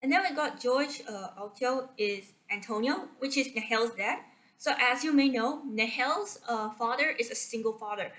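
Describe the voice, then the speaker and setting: A young woman from Malaysia with a slightly high voice talks through a movie summary into a computer mic. She hesitates often and fills pauses with ums and likes. She restarts her sentences as she goes. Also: a bit bright. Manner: hesitant, many fillers and restarts, unsure of facts. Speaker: video creator. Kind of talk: casual spoken movie summary. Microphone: computer screen recording mic.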